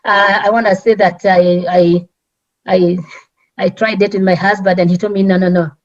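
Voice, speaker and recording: A woman's voice: mid-range voice, level tone. Kenyan woman, lo-fi call audio. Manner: halting, restarts often, earnest. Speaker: guest speaker. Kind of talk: community talk panel.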